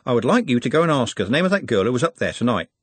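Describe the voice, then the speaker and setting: A man playing a cocky young lad in a storybook, breezy and slangy, his pitch bouncing around a lot. The voice is husky and breathy and close to the mic. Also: sing-song, racing, dry recording. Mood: cheeky. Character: cheeky young male city lad, cocky and friendly.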